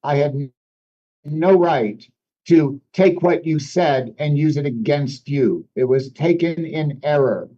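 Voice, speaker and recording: A man whose first language is French speaks in a fairly high, mellow voice, explaining ideas thoughtfully and often restarting his phrasing. The recording is dull and lo-fi. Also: takes it slowly. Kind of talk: reflective conversation. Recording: dull lo-fi sound.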